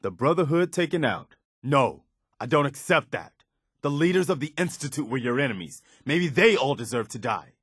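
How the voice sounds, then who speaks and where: An actor playing an earnest, weary, principled militia soldier as a video game companion, speaking in a sincere, plain-spoken, conversational way. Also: male voice, medium pitch, big pitch swings, normal speed, fairly bright tone, breathy edge, dry recording, clean studio sound. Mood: indignant.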